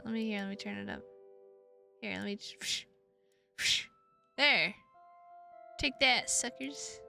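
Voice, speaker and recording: Bright-voiced, playful woman streamer. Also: medium pitch, creaky. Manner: playful, goofy, exclamatory asides. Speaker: streamer hosting a visual novel playthrough. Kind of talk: reading game text aloud with casual chat to viewers. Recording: music behind the voice.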